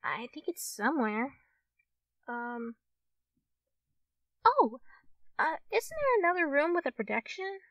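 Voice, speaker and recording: Woman as shy, excitable fox girl for ASMR friend roleplay; halting, unhurried delivery, dry sound, slightly off-mic. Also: high voice, dry recording, far from the mic, heavily acted. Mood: puzzled, sheepish. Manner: hesitant, halting, giggly, addressing the listener as a friend. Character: friendly young fox-tailed cartoon studio girl, shy, easily excited. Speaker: roleplay performer.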